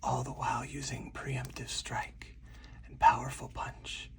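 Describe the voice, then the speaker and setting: Someone speaking in a breathy, deliberate, hushed whisper, in the style of an ASMR game playthrough with commentary. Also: medium pitch, little pitch movement, distant mic. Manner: slow, deliberate, hushed delivery. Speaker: solo card game player and host.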